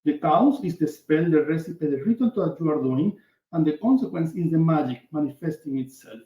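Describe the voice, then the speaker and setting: A man from Venezuela with a Venezuelan Spanish accent, a native Spanish speaker, giving an earnest online workshop lecture over a video call, halting with frequent fillers. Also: fairly high pitch, animated, mellow. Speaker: workshop presenter. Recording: echoey room, dull lo-fi call audio.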